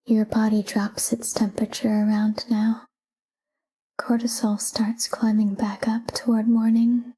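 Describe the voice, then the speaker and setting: Woman, breathy whisper, very slow, even pitch, calm sleep meditation guide. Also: low-quality recording. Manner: calm, hushed, soothing.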